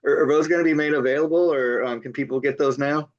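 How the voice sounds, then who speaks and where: A man's voice: fairly high pitch, fairly even intonation, quick pace. An older man hosting an interview, stammering and repeating words with frequent enthusiastic wows. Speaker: TV interview host. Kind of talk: casual interview talk. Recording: dull lo-fi sound.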